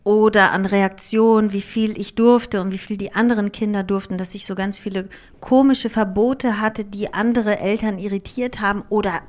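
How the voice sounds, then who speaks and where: A woman's voice: medium pitch, fairly even intonation, moderate pace, a little mellow. Candid, reflective woman telling her story. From Germany, raised partly in Greece. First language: Greek. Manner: reflective, candid, with frequent fillers and restarts. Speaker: author and performer, guest. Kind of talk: relaxed conversational interview.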